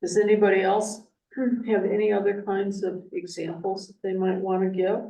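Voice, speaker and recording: Calm discussion leader, distant and roomy. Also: medium pitch, normal speed, vocal fry. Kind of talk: facilitating a group discussion. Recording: distant and echoey.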